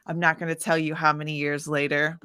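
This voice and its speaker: Woman, lowish voice, casual interview guest, animated, informal, dry close recording. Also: fairly quick, clear, resonant tone, dry recording. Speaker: guest musician, singer-songwriter. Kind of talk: casual chatty interview storytelling.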